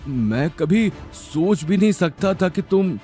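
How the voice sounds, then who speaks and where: A man's voice: high-pitched, lively intonation, slow, mellow, faint music behind, pitched above the performer's own voice. A man voicing an amazed, stammering middle-aged father in an audio drama. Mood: amazed, flustered.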